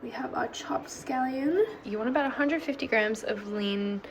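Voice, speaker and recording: A young woman talking casually through her cooking with a slightly hoarse, croaky voice, full of "like" fillers. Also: a little high, moderate pace, very noisy. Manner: chatty, lots of "like" fillers. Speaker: vlogger.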